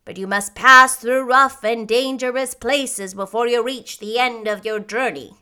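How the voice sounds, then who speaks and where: A woman voicing a grave male Munchkin villager in a storybook, giving cautions in a solemn, loud, deliberate way. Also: on the high side, clean tone. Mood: grave warning.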